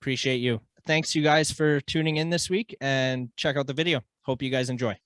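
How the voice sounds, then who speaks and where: A man's voice: mid-range voice, steady tone, a little fast, fairly bright tone, no room sound. A man hosting a podcast interview in an informal, laid-back way with casual slang. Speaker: podcast host.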